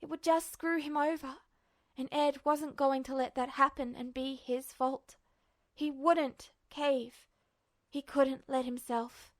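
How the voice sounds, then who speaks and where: A woman's voice: sits high, steady tone, takes it slowly. A woman reading fiction aloud as a dramatic, scripted audiobook narration. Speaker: fan fiction podfic reader.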